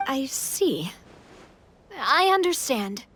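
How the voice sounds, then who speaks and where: A woman playing a kind, composed young monarch in an English-dubbed fantasy game cutscene, speaking in an earnest, reassuring and measured way. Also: high-pitched, sing-song, a bit bright, a little hiss or hum, exaggerated voice. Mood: calm, firm.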